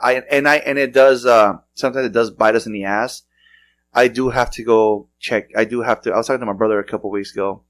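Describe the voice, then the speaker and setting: Rambling man hosting a casual podcast. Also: fairly low pitch. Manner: loose rambling storytelling with frequent restarts and laughs. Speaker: podcast host. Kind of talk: casual chat.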